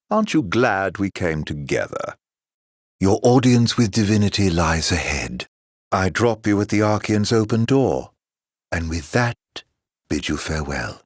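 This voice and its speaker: An actor voicing a sly, mock-courteous villain in a video game, with a florid, teasing, theatrical drawl. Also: male voice, medium pitch, fairly bright tone, dry recording, studio quality. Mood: smugly reassuring.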